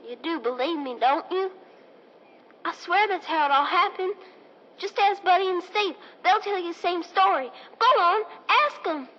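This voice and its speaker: A woman's voice: very high-pitched, moderate pace. A woman playing a plucky, folksy young country girl in an old-time radio drama. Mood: earnest, shaken. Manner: earnest, folksy. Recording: dull lo-fi radio, faint noise.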